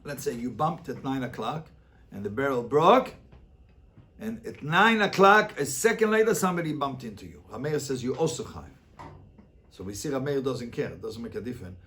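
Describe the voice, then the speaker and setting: A man's voice: mid-range voice, fairly bright tone. A male rabbi teaching an interactive Talmud lesson, explaining emphatically and repeating phrases to make his point.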